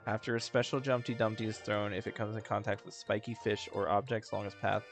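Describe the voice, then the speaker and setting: A man's voice: lowish voice, little pitch movement, fast, soft background music. A man casually commenting over a video game he is playing, bored and sarcastic, dismissive of what is on screen. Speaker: gaming streamer. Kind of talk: casual let's-play commentary.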